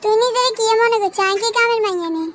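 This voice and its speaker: A woman's voice: very high voice, racing, a bit bright, a little hiss or hum. A woman voicing a gullible, demanding young cartoon wife with a Noakhali Bangladeshi accent and an insistent, nagging manner. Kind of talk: comedy cartoon dialogue.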